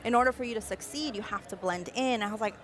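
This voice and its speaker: A young adult woman, born in Mexico City and raised in Caracas, explaining her design process with expressive enthusiasm in a live-stream interview. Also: fairly high pitch, fast. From Mexico City, raised in Caracas, Venezuela. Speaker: guest designer.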